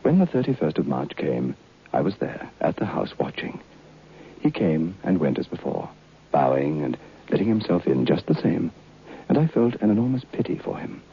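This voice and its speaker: Deep-voiced earnest gentleman. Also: big pitch swings, normal speed, heavily acted. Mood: earnest, sympathetic. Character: earnest, curious gentleman narrator, polite and sympathetic. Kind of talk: old-time radio drama narration and acting. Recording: muffled, faintly noisy old radio recording.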